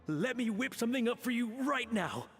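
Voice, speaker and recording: A man's voice: extremely high pitch, animated, brisk, a crowd in the background. An actor playing an earnest, anxious male chef and father in an anime-style game, polite and hesitant. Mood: eager, unsure. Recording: long reverb, faint background noise.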